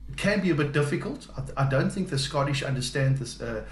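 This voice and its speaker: A man's voice: medium pitch, animated, quick pace. Hesitant South African man, roomy sound. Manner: hesitant, many ums and uhs. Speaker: business development and account manager. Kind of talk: interview answers.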